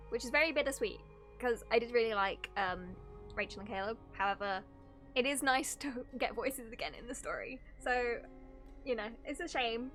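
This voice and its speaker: A woman's voice: fairly high pitch, a bit bright. A woman livestreamer with a giggly, playful manner, chatting casually and reading game story aloud as she reacts. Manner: giggly, playful, easily sidetracked. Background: game music.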